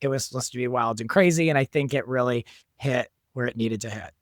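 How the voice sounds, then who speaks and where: A man's voice: mid-range voice, quick pace, no echo, clean studio sound. A man talking as an interview guest, a producer explaining his work in an informal, enthusiastic way. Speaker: guest, show creator and producer. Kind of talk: casual interview chat.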